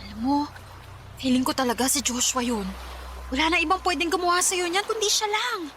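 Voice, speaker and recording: A high voice with faint noise behind it, an outspoken young Filipina friend. She is insistent, suspicious and concerned, in radio drama style. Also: fairly quick, sharp tone. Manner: insistent, inquisitive.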